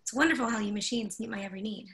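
A woman's voice: mid-range voice, rapid-fire, a bit bright, natural voice. A woman in a play reading, playing a stressed, demanding professor with an emphatic, impatient manner. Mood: appreciative. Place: indoors at home, on a video call.

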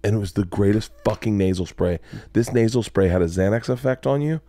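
A man in his forties hosting a podcast, telling stories in an animated way and swearing freely, sitting in a studio lounge at a boom mic. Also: brisk, dry recording. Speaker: podcast host. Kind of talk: casual podcast storytelling. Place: studio lounge with leather couches. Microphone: boom mic on arm.

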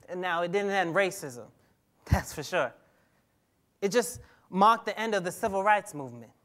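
A man's voice: sits high, a bit bright. A young man playing a skeptical, aggrieved teenage boy in a dramatic stage reading, with colloquial, pointed, questioning delivery. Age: young adult. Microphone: lapel mic on a stage.

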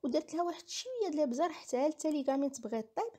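A woman's voice: high voice, very animated, racing, mellow. A woman with a Moroccan accent giving a casual recipe voice-over, explaining each step and repeating herself. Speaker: home cooking vlogger.

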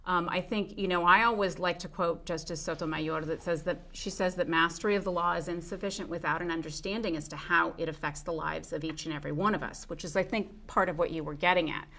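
A woman's voice: fairly low pitch, fairly even intonation, quick pace, hardly any pauses, sharp tone. A woman in her forties, answering studio interview questions earnestly and with some fillers. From Puerto Rico and the United States. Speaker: judicial candidate. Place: small studio with dark curtains. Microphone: lapel mic.

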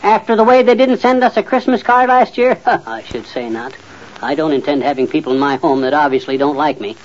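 An actor playing a blustery, folksy, know-it-all middle-aged husband in an old-time radio comedy. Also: male voice, fairly high pitch, expressive, brisk, natural voice. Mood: grumbling. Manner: folksy, boastful, muttering and sputtering. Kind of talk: 1940s-50s radio comedy acting. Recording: muffled old radio recording.

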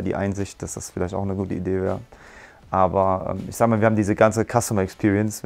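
A man in his forties, a martial arts school owner, earnestly giving a testimonial on a lapel mic in a bright room. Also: low-pitched, vocal fry. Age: 40s. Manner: earnest, explains at length with restarts. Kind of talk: testimonial interview. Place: bright room with curtains, standing.

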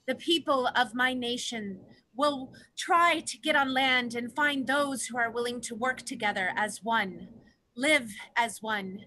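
A woman as an earnest, bright-voiced orca leader. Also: a little high, low-quality recording. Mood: pleading, resolute. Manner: solemn, pleading, declamatory. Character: Ice Traveler, female orca, earnest hopeful leader. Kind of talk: dramatic play reading. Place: home room, video call.

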